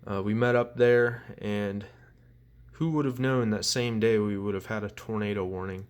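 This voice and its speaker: A man's voice: fairly low pitch, fairly even intonation, normal speed, clean tone. A young man giving a casual show-and-tell as a collector, rambling along in a blunt, self-deprecating way. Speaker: collector presenting weather radios.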